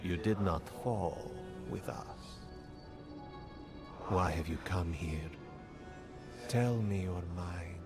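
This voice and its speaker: A man's voice: low voice, slow, pauses often. A man playing a wary ancient guardian spirit in a video game, speaking in a grave, formal, challenging way. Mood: suspicious, challenging. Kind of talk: scripted dramatic game dialogue. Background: clear background music.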